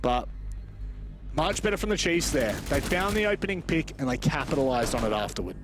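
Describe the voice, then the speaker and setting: A man doing live play-by-play esports commentary in an expressive, exclamatory way with joking asides. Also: on the high side, brisk, noisy background.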